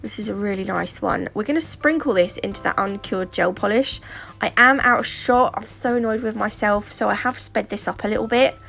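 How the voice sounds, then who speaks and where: A woman's voice: medium pitch, fairly quick, vocal fry, a bit bright, soft background music. A British woman with a sore throat and a stuffy nose, chatting her way through a nail tutorial in a rambling, casual style. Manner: chatty and rambling, with fillers and asides. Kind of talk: casual how-to narration.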